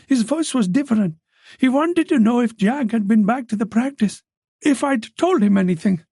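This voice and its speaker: A timid, frightened young woman, as a male narrator puts her on, pleading and apologizing in a high, lifted voice. A gravelly texture stays underneath, recorded close and dry. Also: darkish tone, no echo, full character voice.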